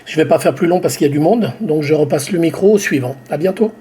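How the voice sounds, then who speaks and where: A man's voice: on the high side, quick pace, mellow, husky. A man amateur radio operator giving a brief check-in with his call sign.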